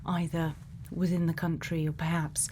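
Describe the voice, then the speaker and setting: A woman playing a gentle, quietly kind scientist in a soft, often whispered ASMR roleplay, soothing and reassuring with many pauses. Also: sits low, level tone, a little hiss or hum, natural voice. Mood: gently curious. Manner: slow, soothing, pausing, reassuring. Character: gentle female scientist, quietly kind, programming a robot friend.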